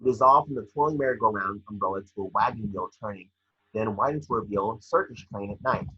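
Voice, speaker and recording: Male table-read narrator, bright but very husky, scripted stage directions, slightly muffled home recording. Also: lowish voice, fast. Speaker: scene description reader.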